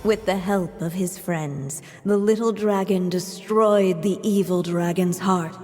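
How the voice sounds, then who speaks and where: A woman voicing a solemn storybook narrator in a video game, telling a fairy tale in a measured way. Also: fairly low pitch, darkish tone, long reverb tail, faint music behind, lightly acted.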